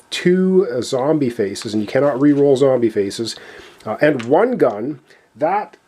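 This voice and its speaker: Male tabletop game presenter. Mellow, slightly husky voice, casual step-by-step explainer delivery. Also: medium pitch. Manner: explanatory, talking viewers through step by step. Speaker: board game player and presenter. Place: indoors at a gaming table.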